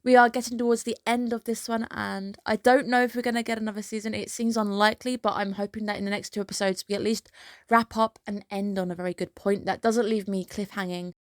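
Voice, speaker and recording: A woman's voice: mid-range voice, level tone, fast talker, dry recording, studio quality. A woman, a little under the weather, chatting casually and opinionatedly through an anime episode breakdown as the channel's host. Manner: opinionated, chatty, emphatic dislikes. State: slightly ill, under the weather.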